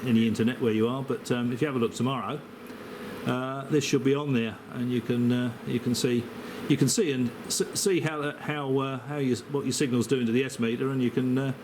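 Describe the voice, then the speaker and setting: An Australian man with a mid-pitched voice talks over clear background noise. He is an amateur radio operator in casual conversation, rambling in a hesitant, matey way. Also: steady tone, fairly quick. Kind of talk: casual radio contact chat.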